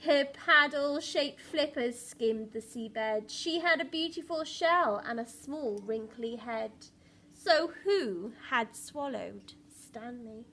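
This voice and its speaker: A woman's voice: on the high side, lively intonation, takes it slowly, pushed and bright, slight background noise. A woman primary school teacher reading a picture book expressively to young children, with dramatic emphasis and questions to the listeners.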